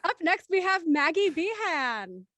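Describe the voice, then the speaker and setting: A woman hosts a casual panel with a high, very animated voice. She banters playfully and teases her guests. The sound is dry and close. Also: unhurried, dry recording. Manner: playful, teasing. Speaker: host introducing cast.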